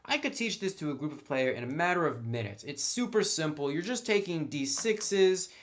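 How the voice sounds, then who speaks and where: A man's voice: a little high, expressive, moderate pace. A man giving a casual, opinionated review as a tabletop game reviewer, talking in an informal, conversational way.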